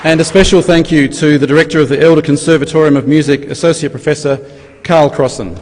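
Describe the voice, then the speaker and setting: A man hosts a formal event, delivering thanks and announcements in a fairly high male voice. The sound is reverberant, as in a large hall, with faint ambient noise underneath. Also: fairly even intonation, fast talker, a crowd in the background. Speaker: master of ceremonies.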